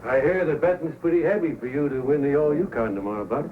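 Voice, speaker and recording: A man's voice: on the high side, quick pace, slight background noise, close to an everyday voice. An actor playing a stern, practical middle-aged father in an old-time radio drama, firm and scolding. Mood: earnest. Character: stern, practical father who scorns dog racing. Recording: very narrow, tinny old radio recording.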